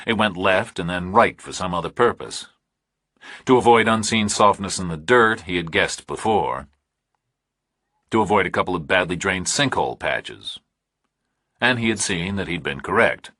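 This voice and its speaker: A man reading a scripted thriller audiobook with measured, clipped, dramatic phrasing. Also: sits low, lively intonation, sharp tone, slightly rough, no room sound, studio quality. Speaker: audiobook narrator.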